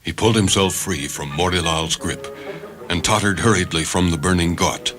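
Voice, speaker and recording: A man's voice: deep voice, normal speed. A man reading a short story as dramatic scripted narration. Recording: music under the voice. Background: background music.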